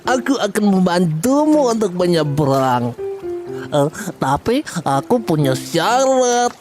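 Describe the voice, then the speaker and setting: A sly giant crab from a children's folktale cartoon, speaking in a very slow, lecherous drawl. The pitch swoops up and down in a teasing, trickster way, with music playing clearly behind. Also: very animated. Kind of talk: children's animated folktale dialogue.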